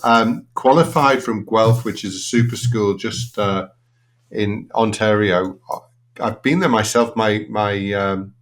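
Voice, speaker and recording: Male podcast host, low voice, relaxed and rambling, home video-call mic. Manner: relaxed and meandering, with many ums and asides. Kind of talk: conversational interview hosting.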